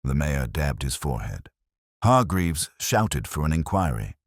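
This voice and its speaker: Very deep-voiced man narrating a mystery story. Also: sing-song, no echo, clean studio sound. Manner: measured, literary storytelling delivery. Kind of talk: scripted dramatic audiobook narration.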